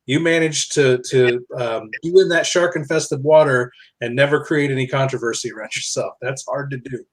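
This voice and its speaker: A man's voice: medium pitch, normal speed, rough recording. A man speaking as a podcast guest in casual, reflective conversation, telling things in a discursive, self-correcting way. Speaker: podcast guest, author and publisher.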